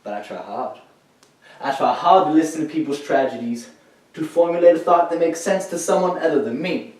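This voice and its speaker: A man's voice: on the low side, natural voice. Echoey, distant young man playing a haunted war veteran. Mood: strained, earnest. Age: teenager or young adult. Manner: halting, swinging from hushed to loud, emphatic. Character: troubled male war veteran, haunted, proud, grieving. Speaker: high school dramatic interpretation competitor. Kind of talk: theatrical dramatic monologue. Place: echoey indoor room, recorded from far off. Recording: echoey room, distant microphone.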